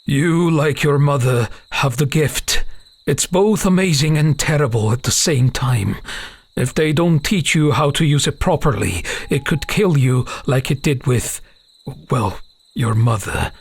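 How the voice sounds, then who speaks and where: A man voicing a tender, sorrowful father in a fantasy audiobook, speaking in a gentle, grave, protective way. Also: medium pitch, lively intonation, a little slow, breathy edge.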